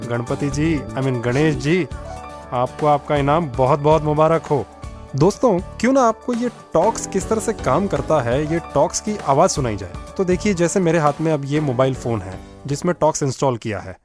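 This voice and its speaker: Man radio host, friendly explainer, a bit higher voice. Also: animated, moderate pace, a little mellow, soft background music. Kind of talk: scripted radio demonstration.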